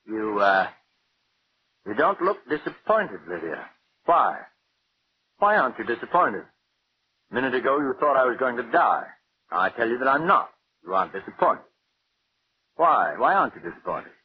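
An actor playing a frantic, suspicious husband in a 1940s radio drama, halting and repeating his demands. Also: medium pitch, sing-song, close to an everyday voice. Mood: agitated, suspicious. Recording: muffled old radio broadcast, hiss.

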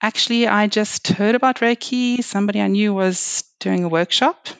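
A middle-aged woman from South Africa with a South African accent, a guest chatting reflectively with frequent fillers and soft laughs. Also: mid-range voice, normal speed, gravelly, clear, resonant tone, dry recording. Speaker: guest, reflexology and Reiki practitioner. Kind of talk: casual interview chat.

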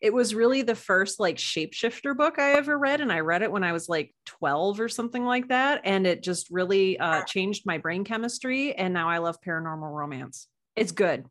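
Woman being interviewed, mid pitched voice, chatty and informal, casual bookish guest type. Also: lively intonation, normal speed, a bit bright. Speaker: podcast guest, author.

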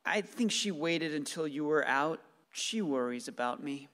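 A man's voice: fairly high pitch, animated, unhurried, fairly bright tone. An actor in a radio play playing an uneasy, mild-mannered young husband and father. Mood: uneasy, rueful. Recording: long hall reverb.

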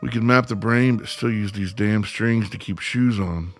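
A man's voice: fairly low pitch, moderate pace, lightly acted. An actor playing a cynical, irritable, hungover city man in a radio play, sarcastic and combative. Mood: grumbling, exasperated.

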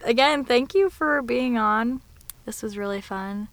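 A woman's voice: a little high, measured pace, fairly bright tone. A young woman hosting a podcast about learning Arabic, chatting in a bubbly way and laughing often. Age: young adult. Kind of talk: casual interview chat.

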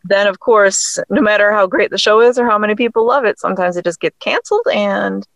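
A woman's voice: medium pitch, fairly quick, vocal fry, dry recording. A woman guest, a writer, talking in an enthusiastic, chatty way in a casual interview. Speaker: guest, writer and audio drama creator.

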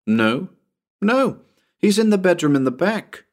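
Man putting on an eager, high-pitched little boy. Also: very animated, unhurried. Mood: earnest protest. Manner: childlike, pleading, earnest. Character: innocent, eager little boy, earnest and insistent.

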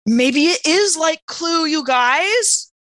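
A woman with a fairly high, bright voice plays a tabletop game. She talks informally and with animation, slipping in and out of character, recorded dry and close. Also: expressive, slow, dry recording. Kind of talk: casual in-game chat, half in character.

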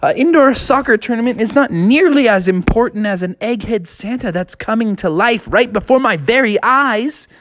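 A man voicing Froggy, an excitable young frog boy who talks in an eager, insistent way. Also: very high-pitched, normal speed, few pauses, noisy background, pitched above the performer's own voice.

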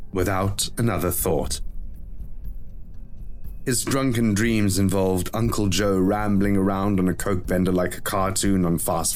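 A man reading a scripted short story as a dramatic audio narrator. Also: deep voice.

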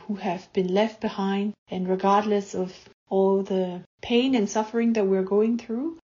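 Mellow Burmese-accented woman, muffled recording. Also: mid-range voice. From Myanmar. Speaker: guest poet and visual artist. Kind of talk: explanatory talk introducing own work.

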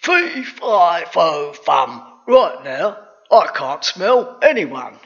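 A storyteller voicing a huge, grumpy, menacing man-eating giant who booms and mutters in a storybook. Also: very animated, a bit bright, muffled recording, full character voice. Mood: grumbling. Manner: booming, muttering, slow chanting.

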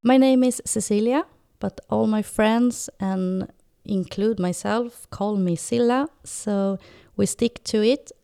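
A woman's voice: mid-range voice, vocal fry, dark tone, smooth, no echo, clean studio sound. A woman from Sweden in her thirties, speaking English with a Swedish accent as a podcast co-host, in a thoughtful way. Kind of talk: casual podcast chat.

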